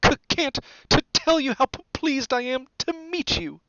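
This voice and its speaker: High, stammering, nervous young professor. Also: male voice, big pitch swings, unhurried, muffled recording, heavy background noise, heavily acted. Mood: anxious, stammering. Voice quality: heavy stammer on consonants. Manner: timid, hesitant, muttering.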